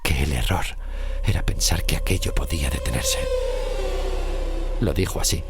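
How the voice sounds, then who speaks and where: A man with a Spain Spanish accent narrating a scripted horror story in a slow, grave, measured way. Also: very deep voice, expressive, very noisy. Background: music.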